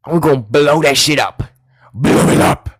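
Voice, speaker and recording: A man hosting a live call-in debate stream, pressing callers with insistent questions and joking loudly with his chat. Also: fairly high pitch, moderate pace, breathy edge, dry recording, studio quality. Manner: lively, shouts and giggles at chat.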